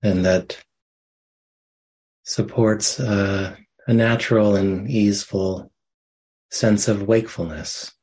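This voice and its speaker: A man leading a guided meditation as a teacher, giving soft, gentle instructions with long pauses. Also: on the low side, fairly even intonation, breathy edge, rough recording.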